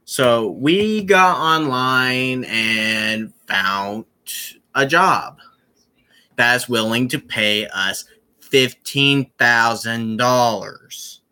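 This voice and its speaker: A young man chatting casually to his viewers on a live stream, rambling and halting, with many fillers and restarts. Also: medium pitch, sharp tone, clear, resonant tone.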